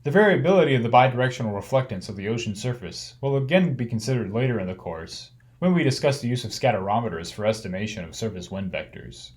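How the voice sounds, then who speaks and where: A man with a medium-pitched voice delivers a narrated slide lecture. His manner is instructional and explanatory. He phrases each point in a measured way, as a lecturer does for a class. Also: lively intonation.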